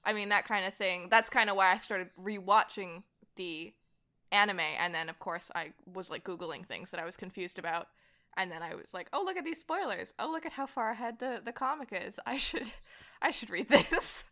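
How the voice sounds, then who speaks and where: Bright-voiced woman chatting casually. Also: mid-range voice, moderate pace. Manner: animated storytelling, often laughing, self-deprecating. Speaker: podcast guest; voice actor. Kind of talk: casual conversational chat about fandom.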